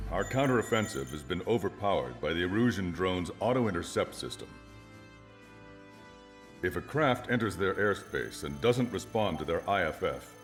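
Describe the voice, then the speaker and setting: An actor playing a composed, authoritative air force officer giving a formal, measured briefing in a video game. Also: male voice, low-pitched.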